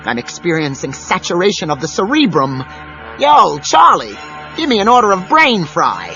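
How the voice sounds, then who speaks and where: A manic mad scientist in an audio drama. The voice is highish and leaps all over in hammy, deranged style. It sits in a muffled cassette recording with music. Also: male voice, wildly swooping pitch, normal speed, creaky, sharp tone, exaggerated voice. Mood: manic glee. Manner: zany, hammy, wildly changing delivery. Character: manic, gleeful, deranged male mad inventor.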